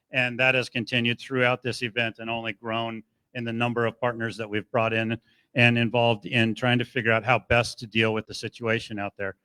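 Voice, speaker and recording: Bright, smooth-voiced man at a podium. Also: mid-range voice. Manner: appreciative, loose, rambling. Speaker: forest service official. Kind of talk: public briefing at community meeting. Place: community meeting room.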